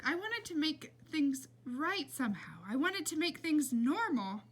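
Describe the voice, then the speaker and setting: A woman plays an emotional mother from a children's storybook. She is tearful and confessing, with a plaintive, fairly high voice that rises and falls freely. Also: sing-song, unhurried. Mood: tearful, pleading.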